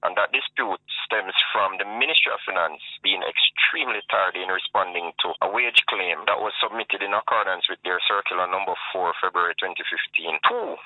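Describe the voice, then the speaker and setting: A man with a Jamaican accent giving a formal, deliberate official statement as an association president. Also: deep voice, no echo. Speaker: air traffic controllers' association president. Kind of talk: formal spoken statement to press. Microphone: telephone. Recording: band-limited tinny phone line.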